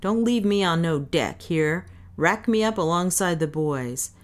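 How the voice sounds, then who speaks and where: Woman acting a gruff drunk man, brash, slangy, sardonic, defiant, low voice, storybook reading. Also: lively intonation, a little slow. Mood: drunken bravado. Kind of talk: dramatic audiobook character reading.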